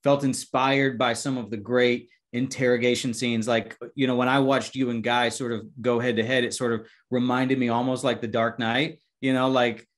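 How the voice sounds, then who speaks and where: A man's voice: medium pitch, level tone, moderate pace, few pauses. Chatty male interviewer on a video call. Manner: chatty, rambling with fillers like "you know". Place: home room with posters.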